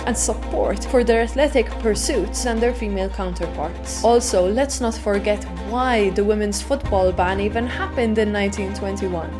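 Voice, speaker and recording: A woman narrating a scripted video essay as host, reading it out in a presentational way. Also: on the high side. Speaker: host of a women's football show. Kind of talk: scripted essay narration and table-read discussion.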